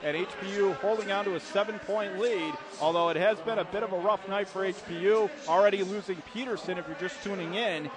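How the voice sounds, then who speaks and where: High-pitched American basketball announcer, man. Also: a little fast, hardly any pauses, crowd noise. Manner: urgent, punchy calls in short phrases. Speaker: radio play-by-play announcer. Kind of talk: live basketball commentary. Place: courtside in an indoor arena. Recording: loud gym noise behind. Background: arena ambience, bouncing basketballs.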